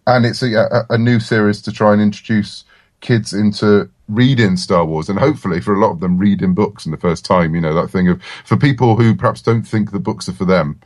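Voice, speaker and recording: Low-voiced man, casual podcast guest. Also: fairly quick, a little mellow, dry recording, studio quality. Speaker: writer of comics and books, interview guest.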